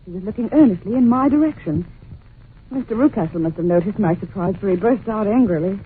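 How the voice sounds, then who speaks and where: A woman's voice: mid-range voice, fast talker, narrow, tinny audio, noisy background, lightly acted. Woman acting an anxious young governess, thin old radio recording. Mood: earnest, curious. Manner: polite, earnest, expressive storytelling. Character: young governess, anxious, curious, well-mannered.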